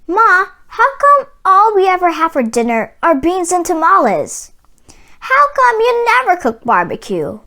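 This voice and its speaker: A woman's voice: very high voice, huge pitch swings, measured pace, pushed and bright, pitched above the performer's own voice, full character voice. A woman reading a storybook, playing a brave, earnest young cowboy boy. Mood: puzzled, pleading. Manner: earnest, resolute.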